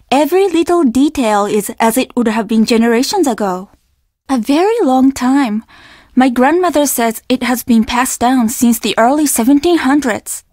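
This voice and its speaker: A woman's voice: high-pitched, a little mellow, no echo, clean studio sound, lightly acted. A woman voicing a courteous, reassuring young inn receptionist in a video game, eager to please. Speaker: voice actor playing an inn receptionist. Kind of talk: video game dialogue lines.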